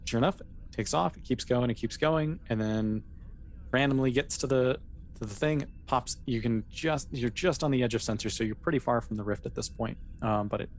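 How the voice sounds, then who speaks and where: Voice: medium pitch, a little fast, slight background noise. A game master narrating a tabletop role-playing session in a conversational, improvised way, feeling out the phrasing along the way.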